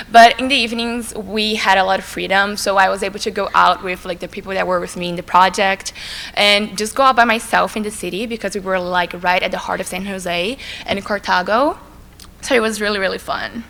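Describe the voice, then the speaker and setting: A young woman from Brazil, a native Portuguese speaker with a Brazilian Portuguese accent, giving a relaxed, chatty school presentation full of "like" fillers. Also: medium pitch, fairly quick, creaky. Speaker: student presenter. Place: school assembly hall. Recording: long reverb in a large hall.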